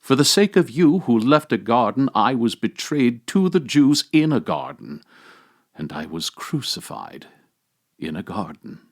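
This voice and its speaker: Man reading as a majestic, slow-spoken risen Christ. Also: mid-range voice, very animated, pitched above the performer's own voice. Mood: grave, tender. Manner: solemn, declamatory, emphatic. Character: Christ risen among the dead, majestic, commanding, tender.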